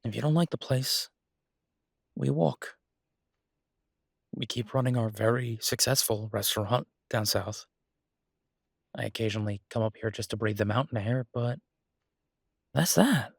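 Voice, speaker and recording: A man playing a devoted, easygoing chef and reassuring partner in an intimate audio roleplay, speaking softly and haltingly with a husky voice. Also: medium pitch, no echo, studio quality. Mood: earnest, reassuring.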